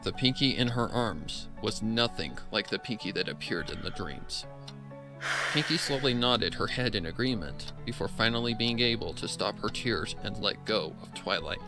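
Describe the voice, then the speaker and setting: A man's voice: lowish voice, lively intonation, normal speed, over music. A man reading a scripted story aloud as a narrator. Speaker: fan fiction narrator.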